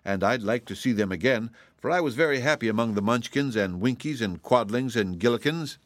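A man's voice: mid-range voice, animated, a little fast, dry recording, voice pushed up, lightly acted. A man voicing a gloomy yet curious little humbug wizard, speaking in a wistful, thoughtful way for a storybook.

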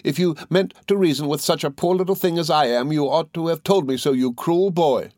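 A man's voice: on the high side, expressive, normal speed, darkish tone, no room sound, studio quality, pitched above the performer's own voice, close to an everyday voice. A man voicing a childish, tearful young wife in an audiobook, piteous, pleading and pouting. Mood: piteous, despairing. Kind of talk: audiobook character dialogue.

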